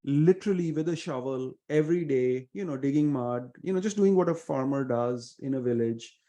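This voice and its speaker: Casting: middle-aged Indian-accented man, warm low-key tone, earnest guest answering with pauses and fillers. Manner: earnest, measured, many fillers. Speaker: venture investor guest. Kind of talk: reflective interview answers.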